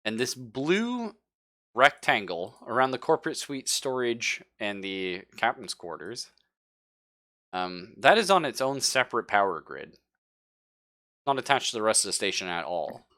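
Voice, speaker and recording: A man's voice: medium pitch, sing-song, a little slow, sharp tone, no echo, studio quality. A man casually narrating a tabletop sci-fi horror game as game master, informal and jokey.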